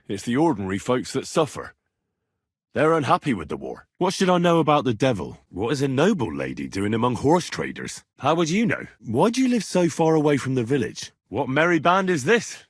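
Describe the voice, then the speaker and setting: An earnest young man of common birth in a medieval video game. His voice sits at a medium pitch with a slightly rough, husky edge. He speaks in a plain, folksy, curious way, with lively swings in intonation. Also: no room sound, clean studio sound. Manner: conversational, expressive, questioning, folksy turns of phrase. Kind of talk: video game dialogue acting.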